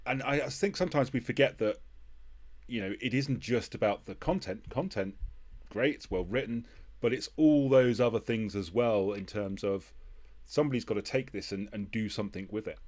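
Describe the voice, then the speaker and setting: A man's voice: lowish voice, moderate pace, a little mellow. A man hosting a casual interview, feeling his way through questions and repeating words as he goes. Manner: tentative, repeats words while forming questions. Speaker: host, interviewer.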